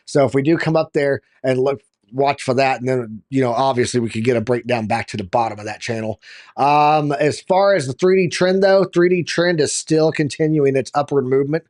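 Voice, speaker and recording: Man, slightly hoarse, somewhat high voice, live show host, casual commentary, informal chummy address. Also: darkish tone.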